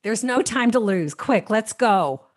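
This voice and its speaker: A careful, earnest government agent in a radio play. Her voice sits on the high side and moves up and down in lively fashion, with an objecting, conscientious manner. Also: unhurried, background noise. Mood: urgent. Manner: earnest, protesting. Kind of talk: comedic radio play acting.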